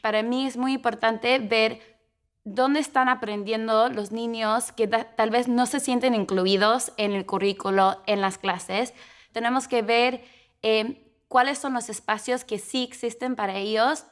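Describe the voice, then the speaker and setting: A woman's voice: on the high side, fairly even intonation, a little slow. A young adult woman, a doctoral student, speaking earnestly in an academic conversation with fillers and small self-corrections.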